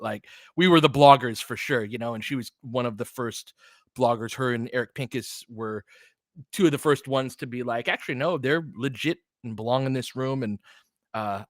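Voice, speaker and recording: A man's voice: mid-range voice, fairly bright tone, no room sound, clean studio sound. A man hosting a basketball stream, giving casual play-by-play mixed with chat in a conversational, slangy way with clipped phrases.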